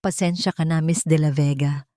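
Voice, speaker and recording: A woman's voice: deep voice, steady tone, moderate pace, mellow, dry recording, natural voice. Lady doing a haunted businessman in love, shaken and pleading, audiobook drama, dry recording. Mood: apologetic, yearning. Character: haunted, guilt-ridden businessman, shaken and lovestruck. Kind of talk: audiobook melodrama.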